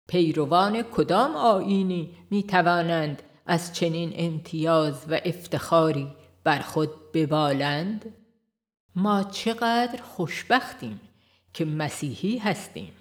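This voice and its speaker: A woman reading scripted audiobook narration in a measured, formal manner. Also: fairly low pitch. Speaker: audiobook reader.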